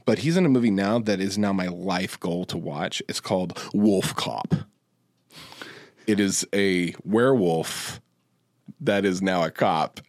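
A man's voice: low voice, unhurried, darkish tone, no room sound, studio quality. A man co-hosting a podcast, chatting casually about movies. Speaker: podcast co-host.

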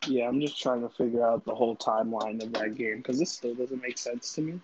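A man's voice: mid-range voice, steady tone, a little fast, a little mellow, poor audio. A man co-hosting a podcast in casual, profane banter, using a lot of bro-style slang. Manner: slangy, says "bro" often. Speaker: podcast co-host.

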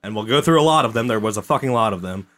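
A man's voice: on the low side, expressive, rapid-fire, a bit bright. Casual swearing man telling history. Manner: swearing, storytelling, slips into voices for quotes. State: drinking. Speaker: podcast host. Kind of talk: casual history podcast chat.

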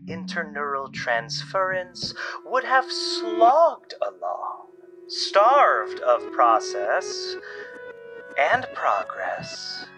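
A man's voice: mid-range voice, low-quality recording. A man playing a scheming, pompous doctor who drawls theatrically and drops into whispers, with music behind. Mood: smug. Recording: music underneath.

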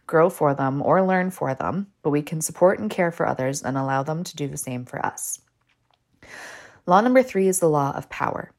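A woman with a stuffed-up cold, hosting a training podcast and reading a scripted talk in a calm, measured way, slowing to stress key terms. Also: sits low. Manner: calm, measured explanation with slow, deliberate emphasis on key terms.

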